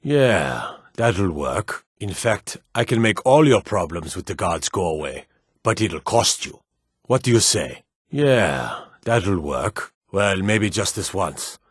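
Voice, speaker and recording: A stern city guard from a fantasy video game, with a low, slightly husky voice. He is gruff and authoritative, handing out clipped threats like a weary lawman who could be bought. The recording is dry and close. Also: very animated, slow, no echo, full character voice. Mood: sly, conspiratorial. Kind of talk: scripted fantasy game voice lines.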